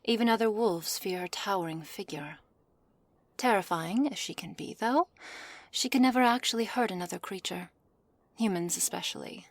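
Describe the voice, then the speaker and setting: A woman playing a haughty, condescending witch in an ASMR roleplay, speaking softly in measured, formal phrases with a commanding manner. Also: medium pitch, close to an everyday voice. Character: cold, haughty witch, imperious captor of a werewolf. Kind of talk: ASMR roleplay, scripted character acting. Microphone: close studio mic, dry.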